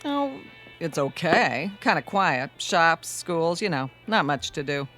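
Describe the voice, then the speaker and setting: An actress playing a friendly, teasing roadside diner waitress in a radio drama, with folksy, breezy, flirty small talk. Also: female voice, sits low, big pitch swings, slow, fairly bright tone, soft background music, exaggerated voice. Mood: chatty, curious.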